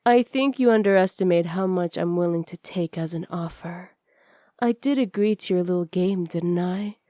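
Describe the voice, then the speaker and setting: A woman playing a seductive, teasing witch in an intimate ASMR roleplay, sly and possessive, often slipping into breathy whispers close to the microphone. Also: fairly low pitch, mellow, no echo, natural voice. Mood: sly, probing. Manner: slow, breathy, often whispered, flirtatious purr. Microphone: close studio microphone.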